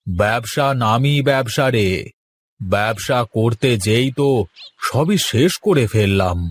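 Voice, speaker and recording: A man's voice: medium pitch, animated, slow pace, a little hiss or hum. A man voicing an easygoing, practical village farmer in a cartoon, talking in a homely, colloquial way. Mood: resigned, practical. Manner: homely, colloquial, ends remarks with checking questions. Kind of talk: cartoon dialogue.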